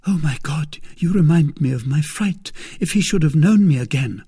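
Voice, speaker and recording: A man's voice: on the high side, lively intonation, moderate pace, mellow, breathy edge, pitched above the performer's own voice, exaggerated voice. A man voicing a frightened, devoted young maid with an emotional, pleading manner in an audiobook story. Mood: anxious. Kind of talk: dramatised audiobook reading.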